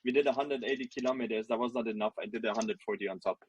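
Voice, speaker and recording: A man hosting an online AMA, talking in a joking, relaxed way. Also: medium pitch, racing, lo-fi sound, slight background noise. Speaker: host. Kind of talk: casual hosting chat.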